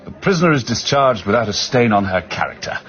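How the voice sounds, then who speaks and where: Quick-talking English toff. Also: male voice, on the low side, sing-song, a bit bright. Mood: genial, curious. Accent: upper-class English. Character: chatty, genial upper-class gentleman friend. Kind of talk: radio drama acting. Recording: dull radio recording.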